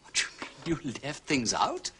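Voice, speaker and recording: A posh english gentleman detective, affable n shrewd, really swoopy bright voice, radio drama, noisy track. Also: mid-range voice, huge pitch swings, brisk. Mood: probing. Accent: English, upper-class. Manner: affable, urbane, probing with questions. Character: genial, shrewd aristocratic English gentleman sleuth.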